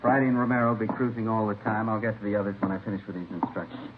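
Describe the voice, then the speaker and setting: Man playing low voiced police captain giving orders, very orderly, old hissy radio recording, phone-like sound. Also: little pitch movement, rapid-fire, natural voice. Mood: businesslike. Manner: methodical, orderly, instructing. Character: methodical, authoritative male police captain briefing officers. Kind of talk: 1950s radio crime drama acting.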